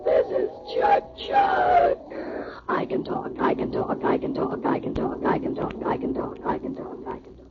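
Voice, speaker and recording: A talking train-whistle effect voicing a cheerful little male steam engine whose breathy, whistle-like words repeat and fade away. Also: extremely high pitch, huge pitch swings, normal speed, in a whisper, heavily acted. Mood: friendly. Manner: repeats phrases over and over as they fade away. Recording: lo-fi old record, loud train chugging behind.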